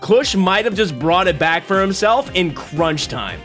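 A young man hosting a reaction stream from a small room on a desk mic, excitable and quick to laugh. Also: sits high, faint music behind. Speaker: streamer host. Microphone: desk mic on arm.

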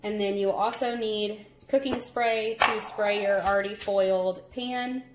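A young woman narrating a casual cook-along in her home kitchen, chatty and relaxed, laughing at her own slips. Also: mid-range voice, unhurried, background noise. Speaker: home cooking vlogger. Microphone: phone camera over the counter.

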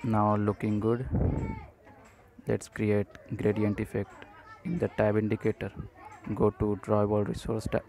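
A man presenting an unscripted screencast coding tutorial in short, halting phrases. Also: fairly low pitch, monotone, a crowd in the background, noisy background.

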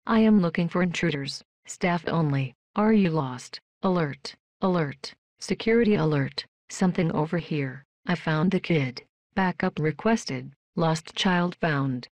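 A woman voicing a polite, emotionless female robot worker in a video game, with flat, stilted, deadpan delivery. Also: low voice, unhurried, no room sound. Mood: flat, alert. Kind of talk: scripted video game voice lines.

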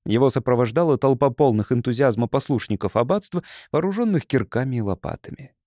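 A man's voice: mid-range voice, lively intonation, fast talker, warm tone, dry recording, clean studio sound. A man reading literary prose as a scripted audiobook narrator.

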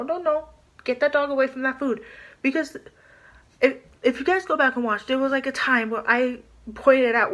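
A woman's voice: high voice, fairly bright tone. Ranting young woman vlogging in her bedroom. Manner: indignant, rambling, many filler "like"s, gestures a lot. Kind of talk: casual vlog rant to camera. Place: small bedroom indoors.

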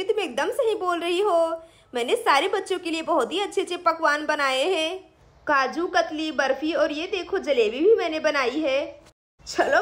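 A proud, excitable aunt, played by a woman, chatters in a very high, bubbly voice. She belongs to a children's story about a village family. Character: proud, excitable young aunt who cooks.

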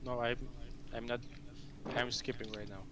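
A man's voice: medium pitch, level tone, moderate pace, noisy background. A man in a game voice chat, thinking aloud and trailing off. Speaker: game player. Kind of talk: casual voice chat.

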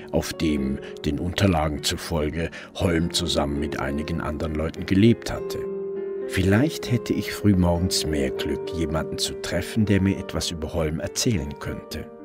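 Deep-voiced, calm male police detective. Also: normal speed, over loud music. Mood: measured narration. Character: calm, probing male police detective telling his case. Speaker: narrator and lead detective. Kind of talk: crime radio play narration and dialogue.

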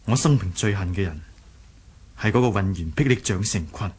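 A man playing an earnest, gentle young rebel leader in a radio drama, sincere and a little hesitant. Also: deep voice, normal speed, breathy edge. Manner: sincere, hesitant, soft-hearted. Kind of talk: Cantonese 1980s radio drama acting.

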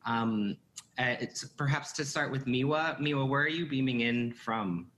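A man's voice: mid-range voice, normal speed, a bit bright. A man in his thirties with an American accent, chattily moderating a panel over a video call. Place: indoor room at home on a video call. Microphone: computer video call.

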